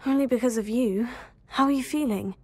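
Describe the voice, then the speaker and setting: A cautious, caring teenage student in a fantasy game. Her voice is slightly high and husky, and she speaks in a measured, sincere manner. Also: darkish tone, no echo. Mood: concerned, apologetic. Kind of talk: scripted video game cutscene acting.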